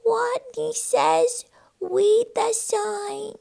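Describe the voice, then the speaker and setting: A woman voicing a tiny timid storybook rodent boy who squeaks out slow commands and says his r's as w's. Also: steady tone, creaky, mellow, heavily acted. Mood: timid, squeaky.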